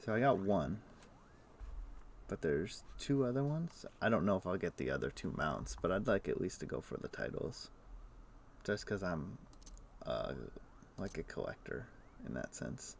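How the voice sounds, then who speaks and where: A man's voice: low voice, very animated, moderate pace, creaky, pauses often, slight background noise. A man streaming a game, chatting casually and explaining things in a loose, rambling way full of fillers. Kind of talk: casual chat while playing.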